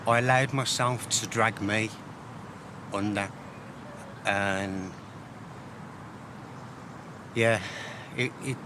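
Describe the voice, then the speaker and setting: Traffic and outdoor ambience sit clearly behind a man with a fairly low voice. He speaks frankly and personally about his poems, hesitating and pausing as he reflects. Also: fairly even intonation. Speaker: poet. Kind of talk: candid personal talk about own poems.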